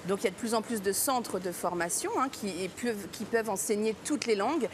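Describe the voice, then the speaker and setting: A woman's voice: medium pitch, fast talker. A talkative, emphatic woman explaining things conversationally as a guest on a radio chat show. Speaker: studio guest presenting language training. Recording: clearly noisy background.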